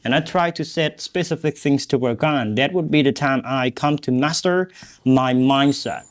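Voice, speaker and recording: Earnest Cambodian-accented man. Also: medium pitch. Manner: earnest, encouraging, repeats phrases for emphasis. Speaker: English teacher and podcast host. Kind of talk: motivational talk to learners.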